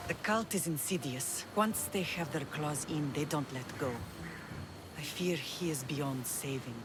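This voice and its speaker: A woman playing a dignified older Greek mother who rules an island, grave and measured, in a video game drama. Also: low voice, background noise.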